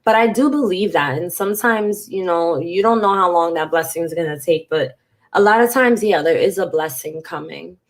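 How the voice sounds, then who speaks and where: A woman's voice: fairly low pitch, moderate pace. A woman vlogger with a congested, stuffy voice, talking frankly and emotionally to camera and swearing casually. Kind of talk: candid talk to camera.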